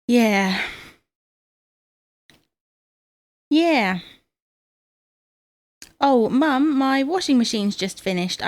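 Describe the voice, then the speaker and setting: Woman playing brisk motherly wife, close dry sound. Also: mid-range voice, no echo, lightly acted. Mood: chatty. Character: fussing, no-nonsense middle-aged wife of a babyish husband. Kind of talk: domestic audio comedy drama acting.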